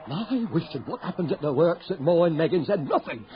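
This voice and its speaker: An actor playing a grieving, reluctant husband and father in a radio horror drama, with halting, pleading delivery. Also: fairly high pitch, expressive, lightly acted. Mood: alarmed, pleading. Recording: dull, noisy 1980 radio broadcast recording.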